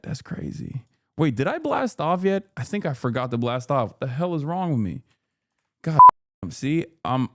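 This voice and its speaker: A man in his thirties from Turkey, streaming and riffing on chat messages in a loud, joking, slangy way with plenty of swearing. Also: mid-range voice, clean tone, dry recording, clean studio sound. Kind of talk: casual livestream chat.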